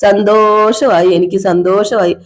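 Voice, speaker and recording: A woman's voice: medium pitch, steady tone, lightly acted. Woman voicing a drunk, bitter husband. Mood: sarcastic, bitter. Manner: accusing, repetitive, slow sarcastic drawl. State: drunk (as acted). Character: drunk, bitter middle-aged husband, self-pitying. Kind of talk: one-person domestic drama skit.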